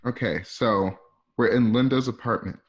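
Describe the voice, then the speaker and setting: A man's voice: mid-range voice, moderate pace. A man reading stage directions as narrator for a radio play over a dull online call. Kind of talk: scripted narration. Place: online live stream call.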